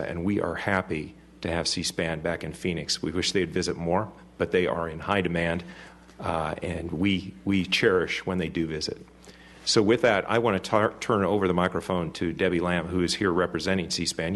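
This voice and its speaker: A man representing a cable company, giving formal remarks to a city council in a halting way with many fillers. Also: low voice, fairly bright tone. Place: council chamber.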